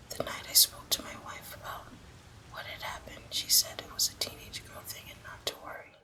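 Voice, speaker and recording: A woman narrating a horror story as ASMR in a breathy, unvoiced whisper, with hushed, deliberate delivery. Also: very high voice, poor audio.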